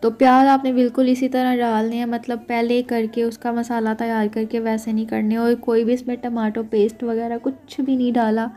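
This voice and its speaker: A woman's voice: a little high, flat delivery, normal speed, hardly any pauses, warm tone. Chatty woman walking through a recipe. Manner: chatty, keeps saying "theek hai" to check in with viewers. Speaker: cooking vlogger. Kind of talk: casual cooking narration.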